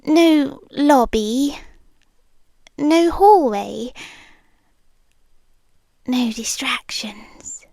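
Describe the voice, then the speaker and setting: A British woman playing a shy, obsessive yandere girlfriend in an intimate ASMR roleplay, soft, breathy and hesitant, often slipping into a whisper. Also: a little high, no room sound. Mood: gentle, intimate. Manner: slow, hesitant, confiding. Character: shy, obsessive young yandere girlfriend, sweetly intense and nervous.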